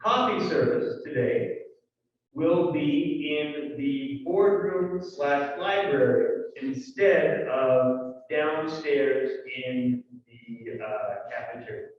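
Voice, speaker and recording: A man giving church announcements in a relaxed, conversational way, with fillers and asides. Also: on the high side, takes it slowly. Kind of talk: congregational announcements. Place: large church sanctuary. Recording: echoey hall, distant dull mic.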